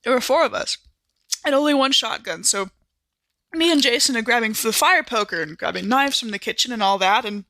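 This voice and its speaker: A woman who is a regular podcast player, chatting casually and telling stories in an animated, conversational way. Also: a little high, brisk, dry recording. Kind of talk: casual chat and animated in-character recap storytelling.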